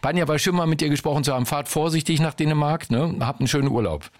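A man's voice: fairly high pitch, fast talker. A man hosting a radio quiz phone-in, chatting casually and joking with callers. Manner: chatty, joking, uses filler tags.

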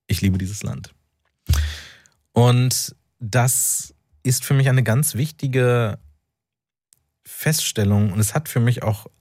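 A man from North Rhine-Westphalia, an author and journalist, speaking as a radio interview guest in a thoughtful, halting way with many fillers. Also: mid-range voice, a little mellow, no room sound, clean studio sound.

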